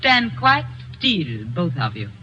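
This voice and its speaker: Scheming femme fatale, silky and mocking, lively pitch, dull old radio sound. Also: female voice, slow, full character voice. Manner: silky, mocking, then shrieking in panic. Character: cold scheming female killer, elegant and mocking. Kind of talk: 1950s radio drama acting.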